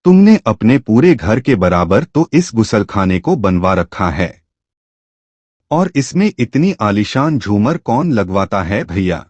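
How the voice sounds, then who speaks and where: A man's voice: lowish voice, very animated, slow, darkish tone, no room sound, exaggerated voice. A man voicing a curious, humble village man in a folk tale, chatty and wheedling. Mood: astonished. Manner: wheedling, repeats "brother".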